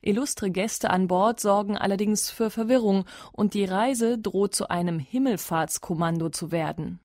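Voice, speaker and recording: A woman's voice: medium pitch, moderate pace, a little mellow, dry recording, studio quality. A woman announcer reading a scripted introduction to a radio drama.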